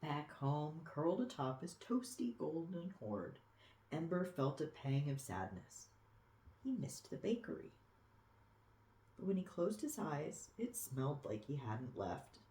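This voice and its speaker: Expressive read-aloud storyteller, soft warm tone, unhurried, cooing asides, roomy echo. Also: medium pitch. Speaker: storybook reader. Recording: echoey room.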